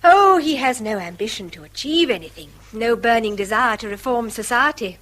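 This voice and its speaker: An actress playing a weary, wistful English wife with a defiant streak, in an emphatic British radio drama style. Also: female voice, fairly high pitch, animated, moderate pace, a bit bright. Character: weary wife Mary, wistful, quick to defy her husband.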